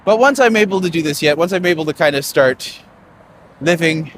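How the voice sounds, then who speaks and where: A man's voice: fairly high pitch, animated, a little fast, background noise. A young man hosting a live-streamed walking tour outdoors on a city street, rambling and chatty with lots of fillers, recorded on a phone.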